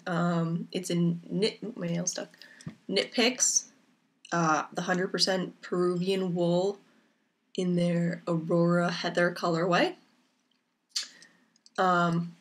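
A young woman hosting a knitting podcast from home, chatting casually to her laptop with lots of ums, her voice a little raspy from a lingering cough. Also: medium pitch, fairly bright tone. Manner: chatty and rambling, with many ums. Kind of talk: casual chat to camera. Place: small room at home, indoors. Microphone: laptop camera mic. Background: rain outside.

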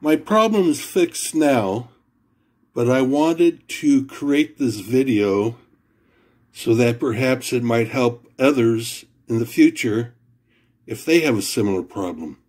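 A man talks through a home repair in a casual, halting way, pausing on fillers and repeating himself. His delivery is slow and unhurried at a mid pitch, picked up by a camera mic at his workbench. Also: expressive, darkish tone. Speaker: home repair video maker. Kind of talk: casual how-to explanation.

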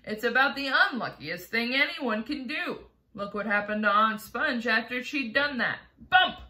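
A woman's voice: a little high, animated, a little slow, sharp tone, voice pushed up. A woman voicing a boisterous, cheeky male centipede who is brash and gleefully proud of being a pest.

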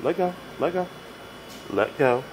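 A man's voice: on the high side, animated, unhurried. A middle-aged man working as a bodywork practitioner, chatting casually and giving short instructions to a client. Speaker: chiropractic practitioner. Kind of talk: casual chat and session instructions. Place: large indoor treatment studio. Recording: noisy room ambience.

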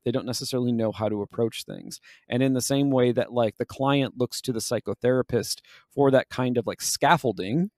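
A man co-hosts a casual, analytical podcast in a medium-pitched voice. The recording is dry, with little room sound. He uses academic wording loosened up with "like" and "you know". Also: brisk, few pauses, a little mellow, dry recording. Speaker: podcast host.

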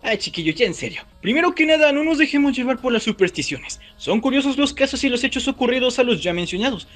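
A man's voice: very high-pitched, lively intonation, quick pace, fairly bright tone, faint music behind. A man hosting a video with casual scripted commentary, chatting directly to his viewers. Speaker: YouTube host.

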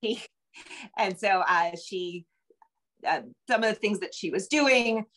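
A woman's voice: medium pitch, slow, a bit bright. Middle-aged American woman, rambling interview guest. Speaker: interview guest, novelist and screenwriter. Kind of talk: casual interview chat. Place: home room with bookshelves. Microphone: video call.

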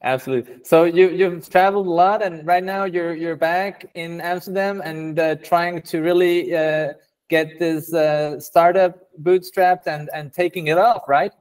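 A young man hosting a podcast over a video call, asking questions in a relaxed way with many ums. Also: a little high, unhurried, clear, resonant tone. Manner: relaxed, agreeable, filler-heavy questions. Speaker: podcast host.

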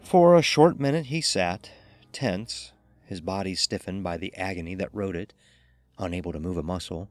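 An actor playing a cold, ruthless, dying rich gambler narrating a murder in a brooding, deliberate, theatrical horror style. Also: male voice, sits low, no room sound, natural voice. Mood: cold, menacing. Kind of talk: dramatic first-person horror audio narration.

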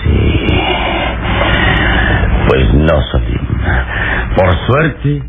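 An actor playing a mighty, calm and wise hero in a solemn old radio serial style. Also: male voice, extremely low pitch, extremely slow, heavily acted. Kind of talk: old Spanish-language radio serial acting. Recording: tinny, noisy vintage radio.

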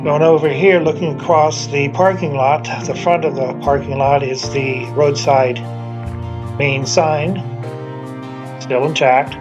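A man's voice: a little high, fairly even intonation, slow pace, highs cut off, over music. A middle-aged man narrating his walk around an abandoned motel, chatty and enthusiastic, talking to a handheld camera outdoors in the snow. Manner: chatty, enthusiastic, with wandering asides. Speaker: urban explorer vlogger. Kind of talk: casual walking narration. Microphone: handheld camera at arm's length.

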